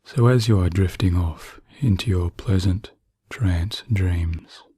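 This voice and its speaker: A man narrating guided sleep hypnosis in a soothing, hushed manner with long pauses. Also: low voice, slightly rough, dry recording.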